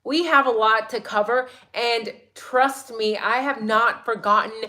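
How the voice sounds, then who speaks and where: A woman hosting a casual true crime livestream, chatty and excitable, her voice a little raspy today. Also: a little high, a little slow. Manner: chatty, excitable, many "like"s and "right?"s. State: slightly raspy voice.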